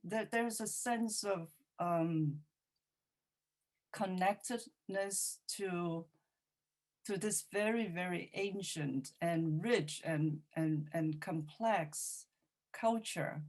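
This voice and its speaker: A woman's voice: on the low side, darkish tone. A woman whose first language is Cantonese, a poet and translator answering an audience question by telling a reflective story with frequent restarts. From China.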